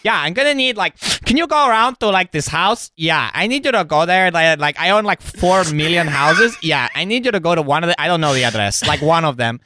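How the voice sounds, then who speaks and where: A man's voice: a little high, animated, fairly quick, few pauses, bright, forceful tone, no echo, voice pushed up. A man putting on a brash, coked-up male private equity boss with a garbled accent, bragging down the phone. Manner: brash, boastful phone call.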